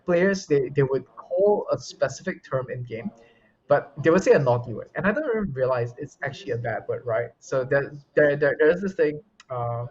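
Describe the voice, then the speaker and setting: A man's voice: mid-range voice, lo-fi sound. A man who coaches esports, answering interview questions at length in an articulate, explanatory way, often restarting phrases. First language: English.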